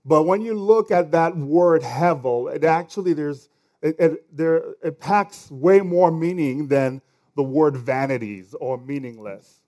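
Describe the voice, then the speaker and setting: A man's voice: a little high, measured pace, darkish tone. A man with a Nigerian accent preaching a sermon as pastor, conversational and now and then stumbling over words.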